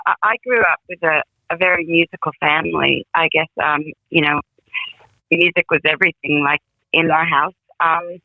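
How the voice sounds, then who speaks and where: A woman's voice: on the low side, dry recording. Woman from England, guest on a call, phone-thin sound, rambling storyteller. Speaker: guest songwriter. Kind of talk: casual interview. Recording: tinny phone line.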